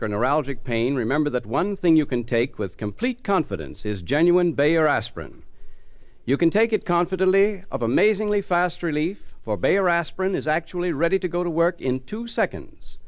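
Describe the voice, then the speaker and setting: Lively, higher-pitched vintage radio announcer. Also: male voice, dry recording. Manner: emphatic, polished. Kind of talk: 1940s scripted radio advertising and narration.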